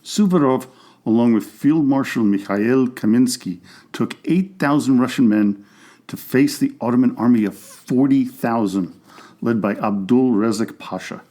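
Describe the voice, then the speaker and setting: A man narrates a history podcast from a script. His voice is mellow and warm, and his delivery stays steady and informative, with a middle pitch. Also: measured pace.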